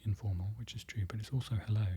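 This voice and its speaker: A presenter whispering an ASMR-style lesson, slowly repeating foreign words and correcting themselves. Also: medium pitch, steady tone, slightly rough. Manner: whispering throughout, soft and close. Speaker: presenter learning Polish from a book.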